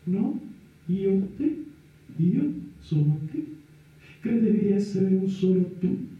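A goading inner voice, teasing and insistent, in a radio play. It sounds muffled and distant, swimming in heavy echo. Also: male voice, high voice, expressive, slow pace. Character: provocative inner voice, a goading second self. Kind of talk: Italian radio theatre dialogue. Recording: echoey, distant, muffled radio drama recording.

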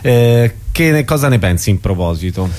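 A man's voice: medium pitch, expressive, normal speed, darkish tone. A man interviewing in a conversational way, hesitantly searching for his words. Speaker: radio interviewer.

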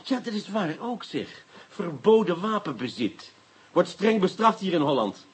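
Radio drama casting: sardonic, coaxing police inspector, mock courtesy, very lively pitch, husky edge. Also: male voice, measured pace, exaggerated voice. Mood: pressing, ironic. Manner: sardonic, mock-polite, insinuating. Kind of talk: Dutch radio play acting.

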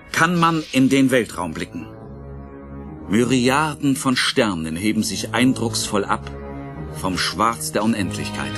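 A man narrating an audio drama from a script in a dramatic, suspenseful manner with deliberate phrasing. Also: on the low side. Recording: music clearly behind the voice.

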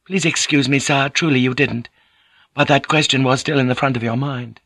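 A man's voice: mid-range voice, fairly even intonation, moderate pace, fairly bright tone, dry recording, clean studio sound, voice pushed up. A man voicing a young noblewoman who speaks politely, earnestly and deferentially. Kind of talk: audiobook character voice.

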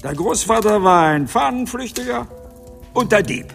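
An actor playing an old man in a German radio drama, confessing bitterly in halting, emotional phrases. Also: sits high, lively intonation, vocal fry, a bit bright, faint music behind, full character voice. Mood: bitter outburst. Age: older. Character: old man bitterly confessing his wartime youth, agitated.

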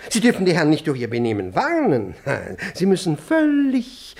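An actor playing a nervous, jealous, self-important Russian official in a German radio play, stammering and repeating himself. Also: male voice, fairly high pitch, wildly swooping pitch, normal speed, creaky, a little mellow, full character voice. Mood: forced composure. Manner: stammering, repeats words, breaks off.

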